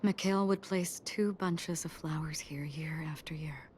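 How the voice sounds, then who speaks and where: Low, hushed, solemn woman. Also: unhurried, slight background noise. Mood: tender, wistful. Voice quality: soft, breathy, half-whispered. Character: solemn, gentle woman paying quiet tribute to the departed. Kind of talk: scripted game cutscene monologue.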